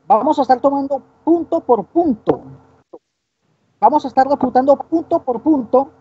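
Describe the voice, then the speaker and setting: A man in his twenties leads an informal theological discussion as a Bible teacher, halting and often seeking agreement, on a muffled stream. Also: very high voice, warm tone, no echo. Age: 20s. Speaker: Bible teacher co-hosting a broadcast.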